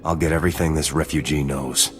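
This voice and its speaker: An actor playing a ruthless, sardonic sergeant in a video game, curt and threatening. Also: male voice, a bass voice, steady tone, fast talker, faint music behind. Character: menacing, ruthless male soldier, a sergeant in a space army. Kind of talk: video game cutscene acting.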